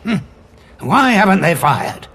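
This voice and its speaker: An actor playing a defiant, quick-witted war veteran hero in a science fiction audio drama, with a wry, dramatic delivery. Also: male voice, sits high, sing-song, very noisy, exaggerated voice. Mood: defiant, taunting.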